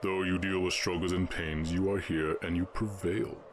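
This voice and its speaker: A man voicing a stern, imposing orc warchief in a fantasy audio roleplay, speaking in deliberate, imperious proclamations. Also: low voice, steady tone, slight background noise, close to an everyday voice. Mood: solemn proclaiming.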